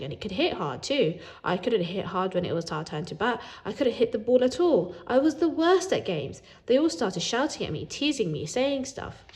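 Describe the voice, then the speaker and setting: Female children's story reader, medium-pitched, animated read-aloud delivery. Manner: animated, acts out dialogue.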